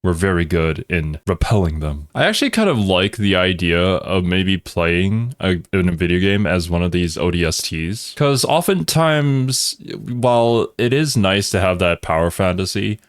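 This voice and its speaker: A man's voice: low voice, expressive, moderate pace, hardly any pauses, dry recording, clean studio sound. A man who natively speaks Thai, reacting as a video host with casual, musing, rambling commentary.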